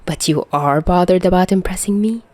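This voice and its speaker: A woman's voice: fairly low pitch, brisk, darkish tone, dry recording, close to an everyday voice. A woman playing a flustered, sarcastic young man in a story, with a blunt, grumbling manner. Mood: casual, teasing.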